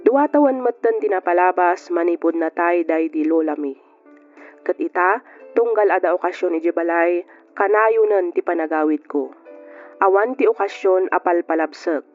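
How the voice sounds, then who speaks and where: An actress playing a regretful young woman who tells her life story in an earnest, measured way, with an Ilocano accent, in old radio drama style. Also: lowish voice, lightly acted. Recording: tinny narrow-band radio, music behind.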